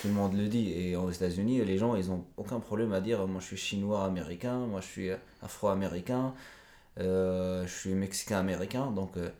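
A man's voice: deep voice, normal speed, mellow, echoey room. A man talking as a podcast guest, earnest and reflective, explaining his views at length in a conversational interview.

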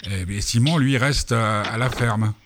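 A man's voice: medium pitch, unhurried, noisy background. A man hosting a casual radio interview, teasing his guests and rambling with frequent repetitions. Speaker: radio host.

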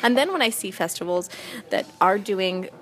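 A woman's voice: medium pitch, animated, normal speed, fairly bright tone, rough recording. A woman, a comedian talking earnestly in a casual podcast chat, with many fillers. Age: 20s. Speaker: guest, comedian.

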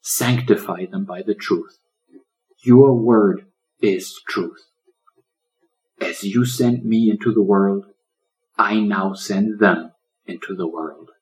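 A man plays an old storybook lion, gentle and protective. The voice is low and very unhurried, with solemn, loving delivery like a shepherd preaching to a flock. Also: poor audio. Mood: prayerful, solemn.

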